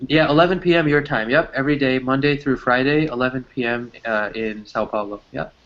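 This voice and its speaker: A relaxed man teaches English over a video call and chats easily with his students. He explains words patiently, and his voice sounds a bit dull and lo-fi through the call. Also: medium pitch, brisk. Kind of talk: casual chat with students.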